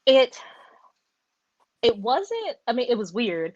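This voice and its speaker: A woman hosting a casual book discussion, talking in animated, rambling runs and correcting herself as she goes. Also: a little high, slow pace, dry recording, dull audio. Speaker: podcast host.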